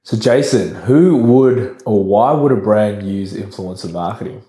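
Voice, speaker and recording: A man with a somewhat low, croaky voice hosts a podcast in a relaxed, chatty way. His tone is dark and mellow, and the room around him rings with a noticeable echo. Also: a little slow. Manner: relaxed, chatty, laughs often. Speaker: podcast host. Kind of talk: casual podcast chat.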